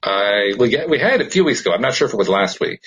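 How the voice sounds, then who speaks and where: Guy co-hosting a podcast, lowish husky voice, thinks out loud, casual and explanatory. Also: very animated, quick pace. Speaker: podcast co-host. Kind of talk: casual reflective conversation.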